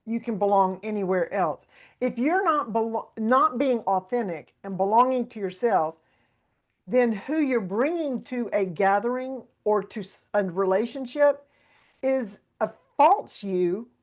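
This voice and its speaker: A woman's voice: on the high side, animated, measured pace. A woman hosting an interview podcast, thoughtfully affirming her guest's point before asking a question. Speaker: podcast host. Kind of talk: conversational interview.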